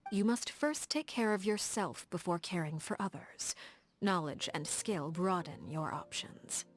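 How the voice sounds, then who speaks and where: Poised, elegant woman leader, measured and formal. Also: fairly low pitch, expressive. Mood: composed, formal. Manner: composed, measured, formal, refined. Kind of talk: scripted video game character dialogue.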